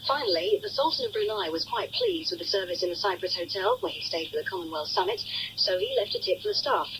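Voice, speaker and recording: An Englishwoman reads the radio news from a script. Her intonation swings very widely at a middling pitch, and an old broadcast recording adds faint noise behind her. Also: very animated, fast, fairly bright tone, poor audio. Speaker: news reader. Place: radio studio.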